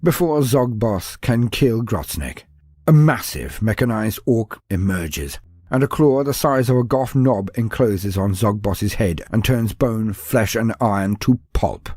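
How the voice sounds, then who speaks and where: A man's voice: fairly low pitch, lively intonation, a little slow, a little mellow, breathy edge, no echo. A man reading scripted fantasy lore as a narrator, in a theatrical, formal storytelling style.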